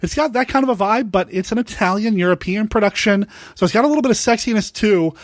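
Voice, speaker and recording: Male American podcast host, slightly high voice, dry close sound, keen and generous with praise, chatting casually. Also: fast, no room sound. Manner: enthusiastic, complimentary. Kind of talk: casual podcast talk on classic monster movies.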